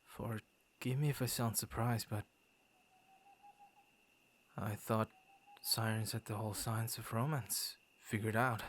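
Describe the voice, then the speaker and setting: Soft shy werewolf boy guy acting it for asmr, breathy half whisper, pretty even tone, takes his time, stammers a bit. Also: on the low side, slow pace, long pauses, a little hiss or hum. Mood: surprised, awkward. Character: gentle, shy young male werewolf comforting a stranger. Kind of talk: ASMR roleplay, intimate soft-spoken acting.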